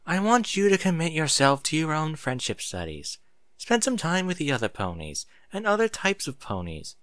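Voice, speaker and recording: A motherly mare of a teacher, acted out by a man for a storybook reading. The speech is measured and soothing, with a firm core and a lively, swinging melody. The recording is dry and close. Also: fairly high pitch, no room sound, pitched above the performer's own voice. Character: Celestia, gentle but firm motherly female pony teacher.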